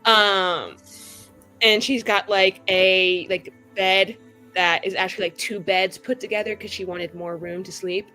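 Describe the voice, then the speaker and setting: Bright-voiced woman casually describing a scene. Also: medium pitch, fairly even intonation, faint music behind, poor audio. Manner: halting, heavy use of filler words. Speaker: tabletop roleplay player.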